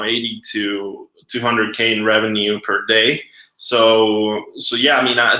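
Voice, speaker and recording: A man's voice: medium pitch, bright, forceful tone. A man in his thirties from Guatemala hosting a casual webinar, chatty and slangy in an informal way. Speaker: webinar host and marketing coach. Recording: echoey room.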